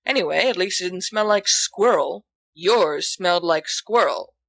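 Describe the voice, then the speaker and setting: A woman's voice: fairly low pitch, lively intonation, unhurried, vocal fry, dry recording, exaggerated voice. A woman voicing a mild, dry-witted teenage schoolboy with an understated teasing manner in an audiobook story.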